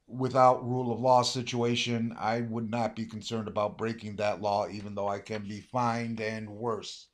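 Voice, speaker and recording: An American man chatting casually as he reviews a product, rambling and hesitant as he thinks aloud. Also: mid-range voice. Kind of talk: casual unboxing chat. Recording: roomy, dull lo-fi amateur audio, faint hiss.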